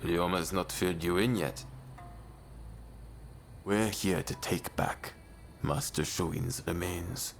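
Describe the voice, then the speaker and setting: A stern, devoted samurai in a video game. The voice is very deep and creaky, delivered slowly and earnestly over faint ambient noise. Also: male voice, soft background music, heavily acted. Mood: grave, earnest. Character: brooding, devoted samurai man, stern and loyal. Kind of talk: video game cutscene acting.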